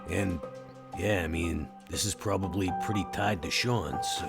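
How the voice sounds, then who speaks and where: A man's voice: low voice, normal speed, gravelly, loud music behind the voice. A man chatting casually in improvised tabletop roleplay, with a laid-back, colloquial manner. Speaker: player in a tabletop roleplay podcast. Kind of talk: casual improvised in-character chat.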